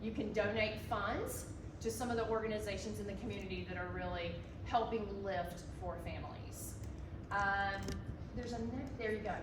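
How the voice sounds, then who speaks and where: A woman giving an earnest, encouraging public talk in a library meeting room, speaking loudly without a microphone. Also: mid-range voice. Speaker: community speaker. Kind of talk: public address. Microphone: not using the microphone. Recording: echoey room from far off, noisy.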